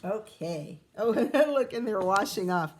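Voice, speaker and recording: A woman teacher reading a picture book aloud to children, animated and pausing to chat and ask questions. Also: medium pitch. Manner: animated, asks the listener questions. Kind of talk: expressive read-aloud with asides to children.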